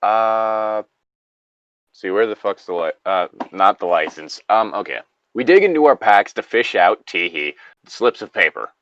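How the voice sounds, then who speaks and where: A man's voice: lowish voice, expressive, unhurried, fairly bright tone, no echo, muffled recording. A man casually reading a story aloud as host, breaking off for joking, sweary asides.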